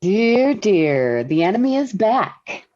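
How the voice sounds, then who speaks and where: A woman's voice: mid-range voice, very animated, slow pace. An actress playing a patient, dryly witty middle-aged wife and mother in 1940s radio sitcom style. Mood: wry. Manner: gentle, wry. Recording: dull vintage radio recording.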